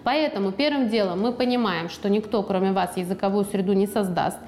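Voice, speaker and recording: A woman guest on a studio podcast, talking in a lively, chatty way and now and then acting out what people said to her. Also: mid-range voice, fairly quick, slight background noise. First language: Russian. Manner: lively, chatty, acts out quoted speech. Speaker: guest author. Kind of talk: podcast conversation.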